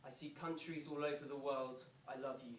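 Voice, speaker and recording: A man's voice: mid-range voice, steady tone, a little fast, lightly acted. Male actor as pleading pop star, theatrical stadium speech, emphatic repetition, far from mic, light background noise. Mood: grateful, loving. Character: earnest adult male pop star addressing stadium football fans. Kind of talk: theatrical public speech, reenacted from quoted address. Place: indoor performance venue, recorded from a distance. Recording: roomy, distant, noisy live theatre recording.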